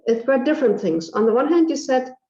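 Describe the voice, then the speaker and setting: A woman coach interviewing a client, asking gentle questions with pauses. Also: high-pitched, lively intonation, roomy sound, highs cut off. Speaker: coach and host.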